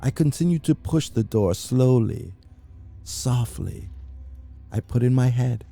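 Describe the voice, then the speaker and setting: A man giving a dramatic reading of a classic horror story, brooding and intense, with sudden hushed drops and rising outbursts. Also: mid-range voice, expressive, slow, creaky, faint music behind. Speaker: reader.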